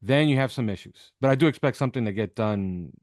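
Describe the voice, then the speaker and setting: A man's voice: medium pitch, lively intonation, fast, a little mellow, dry recording, studio quality. A man speaking as a guest analyst in a sports interview, making his points emphatically and repeating them for effect. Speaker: podcast host appearing as guest analyst. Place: video call with backdrop behind.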